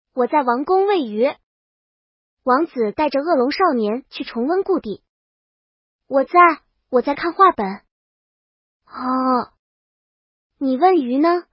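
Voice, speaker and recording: A woman's voice: sits high, no room sound, highs cut off. A woman reading a scripted first-person comic story as a princess, with dramatic, wry delivery. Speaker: narrator.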